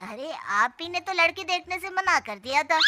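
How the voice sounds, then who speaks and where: A woman's voice: very high voice, animated, normal speed, over music. A woman voicing a shrewd, smug, threatening father of a bride in a Hindi cartoon story. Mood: taunting. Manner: taunting, smug.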